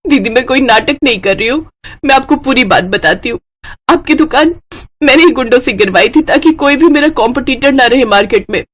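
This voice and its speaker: A woman voicing an earnest, excitable young sister in a children's cartoon story. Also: sits high, a little fast, pitched above the performer's own voice. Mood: pleading, apologetic. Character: young sister Pinky, pleading then eager and excitable. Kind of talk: animated children's story dialogue.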